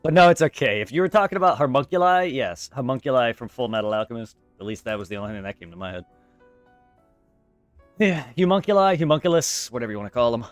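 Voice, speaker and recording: A man's voice: medium pitch, lively intonation, fast talker, dry recording. A male streamer with an ordinary mid-range voice, chatting with viewers and swearing freely. The mic is close and dry. Game music plays faintly behind him. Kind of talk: casual live-stream chat.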